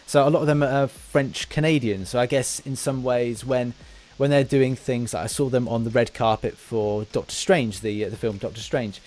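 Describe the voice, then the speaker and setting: An Englishman hosting a casual podcast interview for a gaming channel, with halting, rambling explanations. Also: medium pitch, dark tone. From England.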